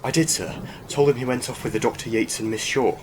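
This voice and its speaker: A man's voice: medium pitch, brisk, lightly acted. A man acting as an earnest, slightly flustered military subordinate in an audio drama. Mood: flustered, apologetic. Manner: eager, slightly flustered, hesitant. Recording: noisy ambience effects behind.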